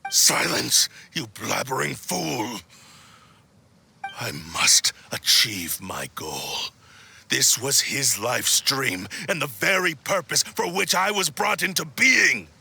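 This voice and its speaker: A man's voice: mid-range voice, sing-song, slow pace, vocal fry, bright, forceful tone, slightly rough, exaggerated voice. A man voicing a proud, bitter spirit of a cursed sword in a video game, speaking in a theatrical, haughty, menacing drawl. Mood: furious. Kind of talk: dramatic video game dialogue.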